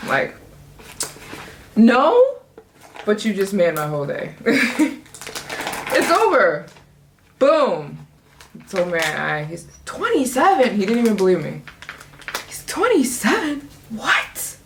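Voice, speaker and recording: A woman's voice: medium pitch, huge pitch swings, takes it slowly, long pauses, noisy background. A woman in her twenties vlogging casually to camera, bubbly and joking, laughing often and jumping between topics. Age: 20s. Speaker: travel vlogger.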